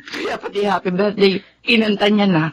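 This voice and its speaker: A woman's voice: medium pitch, level tone, moderate pace, lightly acted. A woman with a Filipino accent playing an affectionate, motherly character in a radio drama, speaking expressively. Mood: fond, nostalgic. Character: affectionate, encouraging Filipina mother, often ailing.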